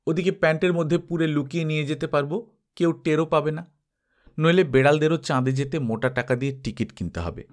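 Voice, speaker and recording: A man's voice: on the high side, brisk, close to an everyday voice. A man voicing an eager, boastful boy chattering about big plans in a storybook reading. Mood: eager, excited. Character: eager boy dreaming of moon ventures.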